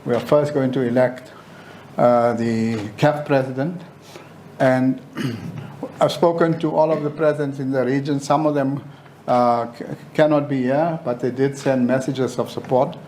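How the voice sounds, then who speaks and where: Slow, halting man addressing a press conference. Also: mid-range voice, a little mellow. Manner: halting, deliberate, with pauses mid-sentence. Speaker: football association official chairing a press conference. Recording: faint background noise.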